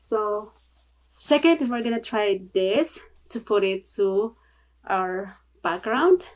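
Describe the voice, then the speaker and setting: A woman from the Philippines with a Filipino accent, chatting casually in a home video tutorial, halting and apologetic with many fillers. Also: mid-range voice, animated, low-quality recording. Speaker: vlogger. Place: small home room.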